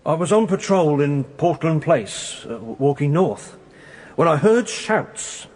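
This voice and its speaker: An actor playing a deferential, slightly hesitant British police constable giving factual evidence in a radio courtroom drama. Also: male voice, a little high, big pitch swings, measured pace, a little mellow. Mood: dutiful, nervous.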